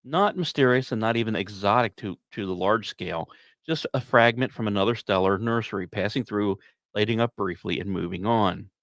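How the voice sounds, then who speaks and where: A man's voice: lowish voice, brisk, fairly bright tone, no room sound. A man hosting a science podcast, delivering semi-scripted commentary with wry asides and the odd stumbled, repeated word.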